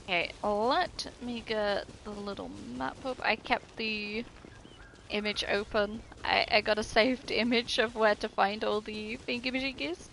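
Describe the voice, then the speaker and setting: A woman's voice: a little high, steady tone, unhurried, fairly bright tone, a little hiss or hum. A woman chatting casually and informally as she streams her gameplay, swearing freely. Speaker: game streamer. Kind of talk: casual live gameplay chat.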